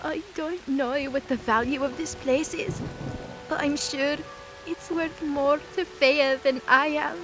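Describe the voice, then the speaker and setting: Young goddess girl for fantasy audio drama, played by a woman: very high, earnest, pleading, music underneath. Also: steady tone, a little slow. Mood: tearful begging. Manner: stammering, desperate, emphatic pleading.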